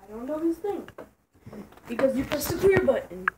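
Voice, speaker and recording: A teenage girl playing a game with a friend, argumentative and often muttering low. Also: sing-song, moderate pace, background noise. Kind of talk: casual chat while gaming.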